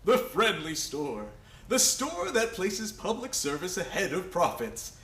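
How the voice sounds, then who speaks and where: A man's voice: high voice, sing-song, measured pace, a bit bright, echoey room, heavily acted. A man acting an exuberant, self-satisfied department store boss in a radio play, with grand, emphatic proclaiming. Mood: jubilant, proclaiming.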